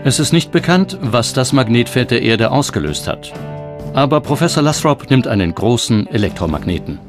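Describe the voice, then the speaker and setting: A man's voice: medium pitch, sing-song, normal speed. A man reading scripted documentary narration as the narrator. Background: plucked guitar music.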